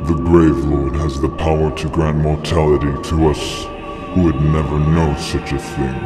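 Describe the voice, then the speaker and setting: A man voicing an ancient dragon elder in a comic dub, speaking in a solemn, ominous and deliberate storytelling manner. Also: rumbling low, little pitch movement. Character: ancient male dragon elder, grave and prophetic. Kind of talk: dramatic comic dub acting. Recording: long cavernous reverb under music.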